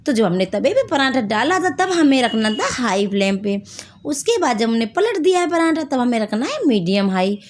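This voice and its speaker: A woman hosting a home cooking video, hoarse from a sore throat, chatting to viewers as she explains each step. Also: fairly high pitch, sing-song, normal speed. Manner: chatty, addresses viewers directly. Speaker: cooking channel host.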